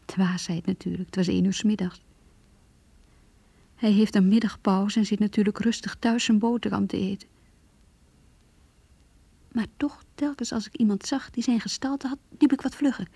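Wounded mistress, extremely breathy near-whisper, soft mellow tone, intimate sorrowful radio-play diary, close and dry. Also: female voice, medium pitch, steady tone, normal speed, pauses often, dry recording. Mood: wistful, bitter, resigned. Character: heartbroken mistress, wounded, longing, quietly bitter. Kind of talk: radio drama inner monologue, diary reading.

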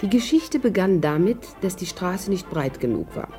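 A woman's voice: fairly low pitch, big pitch swings, normal speed, over music. An actress playing a witty, spirited, worldly woman in a 1950s radio drama, wry and articulate as she narrates and talks. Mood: lively storytelling.

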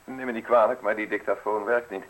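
An actor in a radio play voicing a modest, wordy public prosecutor who speaks with formal, excessive politeness and sly insinuation. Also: male voice, on the low side, fairly even intonation, fairly quick, natural voice, poor audio. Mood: apologetic, explaining. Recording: hissy old radio play recording.

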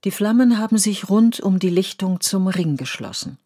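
A woman narrating a scripted literary radio play in measured, poetic storytelling. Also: mid-range voice, animated, warm tone, slightly rough. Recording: studio radio play with music underneath.

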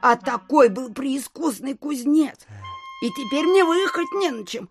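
A woman's voice: high-pitched, animated, music in the background. A woman acting an elderly, simple, fretful widow landowner in an audio drama, with a folksy, plaintive manner. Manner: folksy, plaintive, slow-witted.

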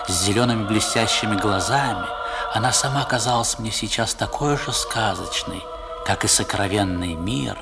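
A man's voice: lowish voice, close to an everyday voice. A man playing a wistful narrator who recalls boyhood, telling the story expressively in a 1960s radio drama. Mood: awed, uneasy. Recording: old 1960s radio recording.

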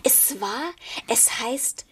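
A woman's voice: fairly high pitch, very slow, a bit bright, breathy edge, full character voice. A young woman acting in a literary radio play as a playful, impulsive girl in love, speaking coquettishly. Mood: teasing, curious.

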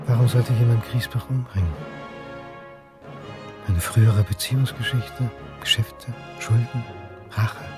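A man playing a brooding academic sleuth in a radio play, with music behind him. His voice sits at a middle pitch. He is terse and pensive, turning dogged and probing as he questions. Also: steady tone, measured pace, pauses often, close to an everyday voice. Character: brooding, dogged male history lecturer turned amateur sleuth. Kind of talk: radio drama acting.

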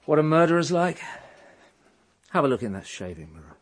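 An actor playing a world-weary, fatherly police inspector in a radio drama, a middle-aged man with a husky voice and a measured, probing manner. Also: on the high side, very animated. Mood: grave, explaining.

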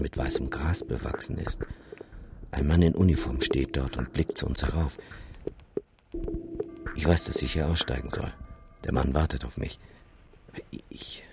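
An actor playing an anxious man who recounts a nightmare in measured, literary radio drama narration. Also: rumbling low, background noise. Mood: uneasy wonder. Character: anxious male dreamer with a camera, recounting a nightmare. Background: music.

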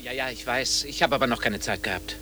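Actor as brisk, busy office superior. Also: male voice, medium pitch, sharp tone, faint music behind, close to an everyday voice. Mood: brusque. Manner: hurried, dismissive, patronising. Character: busy, brusque, patronising male office superior. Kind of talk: German radio play acting. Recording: clear background noise and clicks.

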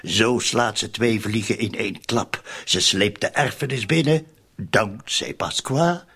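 An actor with a Flemish accent playing a scheming, suspicious conspirator in a radio drama, insistent and often dropping to near-whispers. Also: male voice, fairly low pitch, measured pace, bright, forceful tone, slightly rough, exaggerated voice. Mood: bitter, insistent.

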